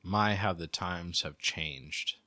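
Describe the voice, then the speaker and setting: A man's voice: low-pitched, takes it slowly, pushed and bright. A man co-hosting a podcast, chatting casually with short, wry, laconic remarks. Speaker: podcast co-host. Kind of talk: casual chat.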